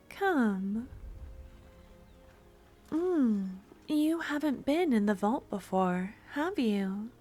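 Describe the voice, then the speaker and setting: A jealous female merchant who talks softly and slowly in a high voice, sweet on the surface and possessive underneath, as a woman plays her. An ASMR audio roleplay, recorded close to the mic over faint music. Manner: soft-spoken, sweetly teasing, turning possessive.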